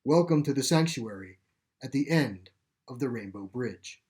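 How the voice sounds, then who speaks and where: A man reading a story plays a calm, gentle caretaker of an animal sanctuary, explaining things with assurance. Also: medium pitch, normal speed, lightly acted. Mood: matter-of-fact. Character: calm, reassuring pipe-smoking male caretaker of animal sanctuary. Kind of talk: storybook character dialogue.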